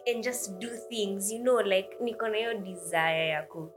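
A woman in her twenties with a Kenyan accent, co-hosting a casual podcast, animated and laughing often. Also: mid-range voice, normal speed, music in the background. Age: 20s. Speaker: podcast co-host. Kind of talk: casual podcast chat mixing English and Swahili.

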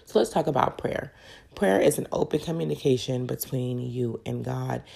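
A woman's voice: extremely low pitch, moderate pace, vocal fry, dark tone, slightly rough. A woman hosting a faith podcast in an earnest, personal, encouraging way, her voice crackly and congested from a cold. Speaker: podcast host.

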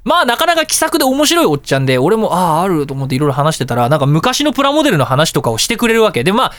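A man hosting a radio show, telling everyday anecdotes in a casual, excitable way and acting out little exchanges. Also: high-pitched, rapid-fire, dry recording. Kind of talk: casual storytelling radio talk.

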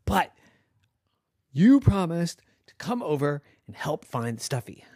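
Woman doing a bossy, stubborn storybook kid, loud and lively, dry close sound. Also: deep voice, measured pace, no room sound, heavily acted. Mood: insistent. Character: bossy insistent child on phone at midnight.

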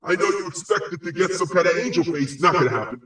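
A man playing the crude, spiteful ghost of a dead man, pompously handing out insults and prophecies in a halting comic delivery. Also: sits high, a bit bright. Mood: insulting. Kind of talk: improvised comic audio play. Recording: very echoey, distant-sounding voice.

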